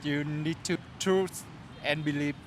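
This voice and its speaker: Slow, high-voiced man. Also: fairly even intonation, a little hiss or hum. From Vietnam (Ho Chi Minh City). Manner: slow, shy, simple phrasing. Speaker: interviewee. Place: outdoors at a city street parade.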